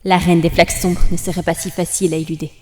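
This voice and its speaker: A woman narrating a dark story in a solemn, measured, scripted style. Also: lowish voice, little pitch movement. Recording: long reverb over music.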